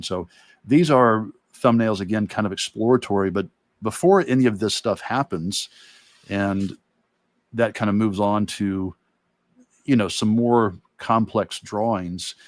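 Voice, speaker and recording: Low-voiced man talking through his craft. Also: no echo. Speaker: guest illustrator and art teacher. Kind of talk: casual explanatory livestream talk.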